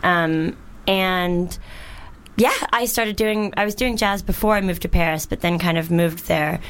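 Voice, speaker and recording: Woman, American accent, from Texas, lowish pitch, chatty guest, storytelling. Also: a little slow, clean tone. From Texas, United States. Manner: chatty, animated storyteller with frequent fillers. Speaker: jazz musician guest. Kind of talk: casual podcast chat.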